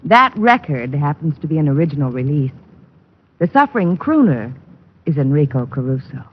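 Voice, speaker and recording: Casting: pointed, inquisitive girlfriend, very lively delivery, dull vintage radio drama sound. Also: sits low, normal speed, slight background noise. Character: sharp-tongued, curious girlfriend of a detective. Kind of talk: old radio drama acting. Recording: muffled vintage radio recording.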